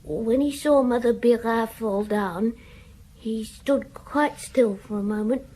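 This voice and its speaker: Worried village kid in a radio play, highish husky voice, hesitant and rustic. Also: female voice, measured pace, mellow, poor audio. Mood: reluctant, confiding. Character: anxious village child, troubled by a drunken father. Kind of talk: radio drama acting.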